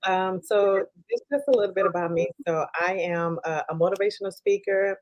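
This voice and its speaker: A woman in her forties from Dallas, Texas, with a Texan accent, speaking as a podcast guest in a halting way with many ums and restarts. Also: medium pitch, steady tone, a little mellow, lo-fi sound. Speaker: podcast guest, life coach. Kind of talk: conversational interview.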